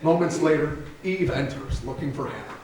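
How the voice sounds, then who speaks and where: A man's voice: on the high side, animated, normal speed, a little mellow. A man reading scripted stage directions as the narrator of a staged theatre reading. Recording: very echoey theatre, distant mic, faint noise.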